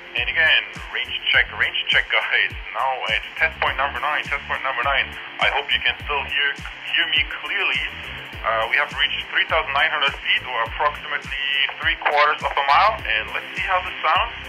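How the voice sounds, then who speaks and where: A man giving casual range test commentary over a walkie-talkie, repeating his phrases deliberately as a product reviewer. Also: on the high side, music in the background, rough recording. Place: outdoors, walking along suburban roads.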